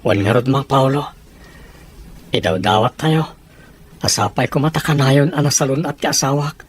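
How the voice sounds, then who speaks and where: A man's voice: mid-range voice, moderate pace, husky, natural voice. A man playing a worried, downhearted husband and father in an audio drama, speaking humbly and plaintively. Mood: humble, resigned.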